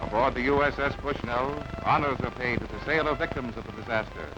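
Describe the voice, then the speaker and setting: A man giving brisk, emphatic scripted newsreel narration on a dull, lo-fi archival recording over music. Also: mid-range voice. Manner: brisk, emphatic announcer delivery.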